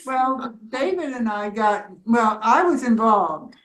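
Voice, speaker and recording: An older woman with a fairly high voice chats slowly and casually. She has a wry, good-humoured way of telling anecdotes in a group. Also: lively intonation, fairly bright tone, reverberant. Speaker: participant in a community storytelling theatre group.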